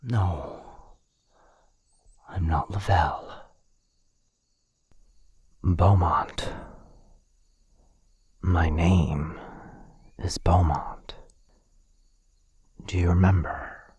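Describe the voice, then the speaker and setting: A gentle, protective vampire gentleman, voiced by a man, murmurs slowly in an extremely low voice. It is very breathy, soothing ASMR roleplay acting, close to the mic. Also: steady tone, no echo, heavily acted. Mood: urgent reassurance. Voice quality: breathy, husky, whispery. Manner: soothing, apologetic. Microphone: close mic, dry.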